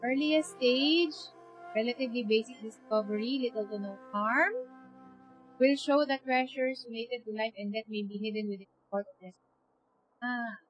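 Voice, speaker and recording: A Filipino woman commentates a game live in a high-pitched voice. She reads text aloud casually and drops in little muttered reactions, with game music playing faintly behind her. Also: normal speed, lo-fi sound. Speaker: game streamer.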